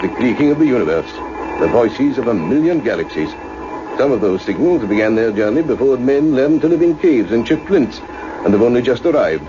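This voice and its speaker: A man's voice: medium pitch, very animated, fairly quick, lightly acted. A man acting as a genial senior astronomer in a radio play, explaining expansively and lyrically. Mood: awed. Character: genial senior radio astronomer showing visitors round, eager and uneasy. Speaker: research scientist. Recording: noisy, dull radio drama recording.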